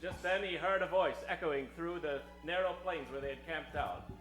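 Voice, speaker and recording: A man's voice: fairly high pitch, normal speed, a bit bright. A man narrating and announcing an improvised old-time radio show with a dramatic delivery. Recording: echoey stage, music behind.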